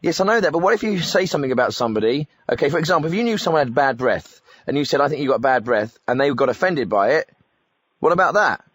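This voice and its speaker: Radio comedy phone-in host, male: bumbling, blunt, chatty, lively swooping intonation. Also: mid-range voice, quick pace, slightly rough, dry recording. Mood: blunt, prodding. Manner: chatty, interjecting constantly, blunt questioning. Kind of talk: spoof radio phone-in presenting.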